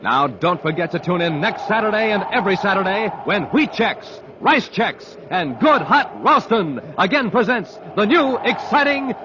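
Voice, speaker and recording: A man's voice: sits high, big pitch swings, moderate pace, hardly any pauses. An American man announcing in emphatic 1950s radio style, with scripted hard-sell showmanship. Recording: slightly noisy, muffled 1950s radio recording.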